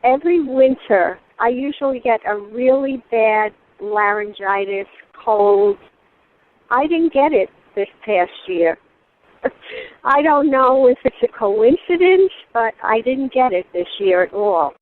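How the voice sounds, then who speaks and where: A woman's voice: a little high, expressive, slow. A woman giving a conversational testimonial over a thin phone-like recording. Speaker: advertisement testimonial giver.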